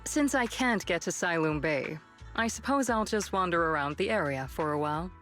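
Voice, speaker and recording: Poised inquisitive game lady, on the lower side, bit of music behind. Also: expressive, moderate pace, fairly bright tone. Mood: wry, poised. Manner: poised, questioning. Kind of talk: video game voice acting.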